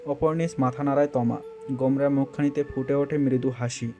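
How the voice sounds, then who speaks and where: Male narrator, mellow tone, scripted romantic storytelling over faint music. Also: mid-range voice, fairly even intonation, normal speed.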